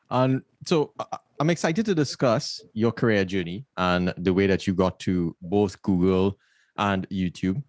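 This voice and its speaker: A man's voice: on the low side, darkish tone. A man hosting a podcast, reading a guest's biography and then chatting with him in an upbeat way. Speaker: podcast host. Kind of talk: scripted intro then casual interview.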